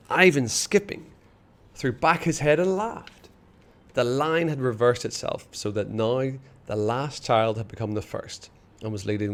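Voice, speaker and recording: A man reading a children's novel aloud, expressive and hushed in the dark passages. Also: mid-range voice. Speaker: storyteller.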